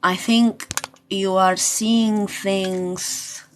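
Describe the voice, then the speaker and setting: A woman chatting informally as a livestream tarot host, unhurried and pausing, with loose, sweary phrasing. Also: medium pitch, steady tone. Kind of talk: casual livestream chat with card readings. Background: music.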